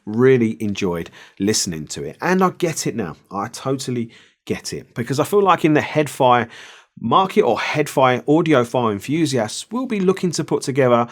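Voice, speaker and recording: A middle-aged man reviewing audio gear in a conversational, measured way, explaining things with personal asides. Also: mid-range voice, very animated. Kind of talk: product review to camera.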